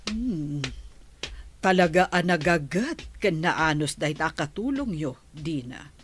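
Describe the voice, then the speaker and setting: An actress playing a friendly middle-aged neighbor woman who chats in an unhurried way, with an Ilocano accent. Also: low-pitched, lively intonation, slight background noise.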